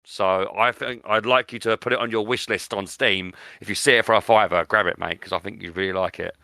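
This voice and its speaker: Brit guy on a podcast, bit gravelly, dry close sound, keen and repeats himself, just chatting. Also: lowish voice, steady tone, a little fast, bright, forceful tone, no room sound. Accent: British. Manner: enthusiastic, repeats words for emphasis. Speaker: podcast host. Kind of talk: casual chat.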